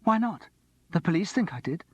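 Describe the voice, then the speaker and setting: A man reading aloud and voicing an agitated, manic young male student who swings between wild laughter and quiet. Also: expressive, moderate pace, husky. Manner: jittery, laughing, high-strung. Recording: slightly muffled dry recording.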